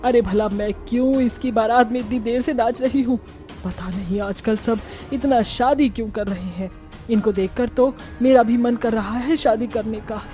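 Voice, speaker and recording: A woman's voice: on the high side, normal speed, mellow, faint music behind, lightly acted. A woman voicing a vain, fussy young cartoon witch who chats in a theatrical, dismissive way. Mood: dismissive, musing. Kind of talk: Hindi cartoon dubbing.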